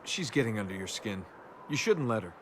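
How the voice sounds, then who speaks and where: A man's voice: fairly low pitch, sing-song, a little fast, fairly bright tone, slight background noise. An actor playing a flippant young male adventurer in a video game, casual and full of sardonic quips.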